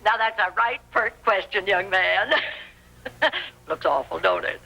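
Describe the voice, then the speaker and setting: A woman's voice: mid-range voice, a little slow, creaky, bright, forceful tone, full character voice. An actress playing a blustery, blunt older backwoods woman with a folksy rustic twang in an old radio western. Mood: amused, pert. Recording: vintage lo-fi radio drama recording.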